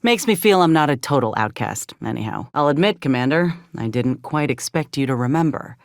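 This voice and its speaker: A folksy space frontier ex-soldier gal, earnest n steadfast, plain talker, game dialogue, super dry sound. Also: female voice, very deep voice, expressive, no room sound. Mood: wistful, reserved. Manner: folksy, plainspoken, measured delivery. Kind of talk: video game character dialogue.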